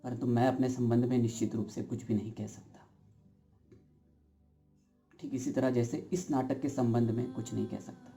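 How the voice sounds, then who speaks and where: A man performing a stage monologue as a brooding, uncertain everyman, speaking deliberately and philosophically with dramatic emphasis straight to the audience. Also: mid-range voice, fairly even intonation, dark tone, soft background music, close to an everyday voice. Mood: brooding, reflective. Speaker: actor performing an audition monologue.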